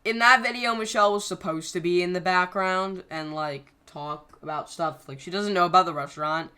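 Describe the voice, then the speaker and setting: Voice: mid-range voice, normal speed, pushed and bright, smooth. A channel creator casually explaining scrapped plans in a rambling way, full of fillers and self-corrections. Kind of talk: casual behind-the-scenes commentary.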